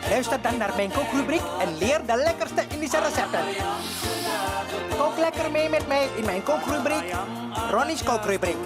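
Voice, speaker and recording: A man voicing a cheeky, chatty male cooking host in a comedy sketch, exclaiming and teasing as he presents. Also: very high-pitched. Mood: gleeful, promotional. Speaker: comic cooking segment host.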